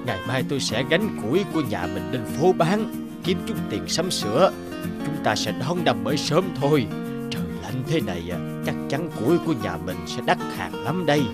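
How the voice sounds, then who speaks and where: Man acting a hearty old peasant, loud music behind. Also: medium pitch, sing-song, normal speed, lightly acted. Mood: hearty, reassuring. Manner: hearty, reassuring. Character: cheerful, stubborn old peasant husband, near seventy. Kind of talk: cartoon folktale voice acting. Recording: loud guitar music underneath.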